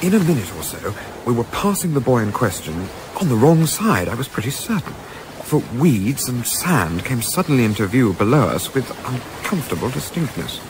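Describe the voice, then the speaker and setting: A genteel British gentleman played by a male actor in a radio play, eager and a little green at sailing. His phrasing is old-fashioned and literary, and his pitch moves expressively. There is clear ambient noise behind him. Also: sing-song, moderate pace. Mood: wry, measured. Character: polite, eager, inexperienced gentleman yachtsman narrating his memoir. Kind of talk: radio play narration and dialogue.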